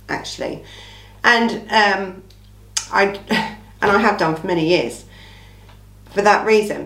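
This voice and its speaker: A woman with an English accent and a slightly croaky, under-the-weather voice, hosting a casual true-crime Q&A in an informal, emphatic way with rhetorical asides. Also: lowish voice. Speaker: true-crime channel host. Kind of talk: casual chatty Q&A monologue. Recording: echoey room.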